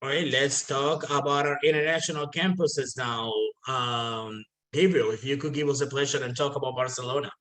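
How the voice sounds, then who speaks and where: A man's voice: mid-range voice, steady tone, normal speed, fairly bright tone. A man hosting a webinar, introducing each speaker in a friendly way. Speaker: host.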